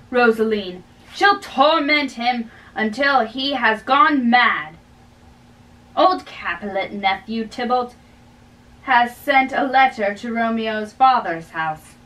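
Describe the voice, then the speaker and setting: A young woman doing a halting dramatic play reading in a small bedroom, stumbling over and repeating lines. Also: a little high, pushed and bright. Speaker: amateur play reader. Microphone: camera a few feet away. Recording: distant mic in a bedroom.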